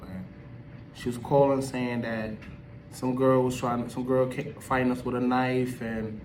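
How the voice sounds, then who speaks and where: Man, video host, casual opinion talk, emphatic, slangy, mid-pitched, distant noisy recording. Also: echoey room. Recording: distant mic with background noise.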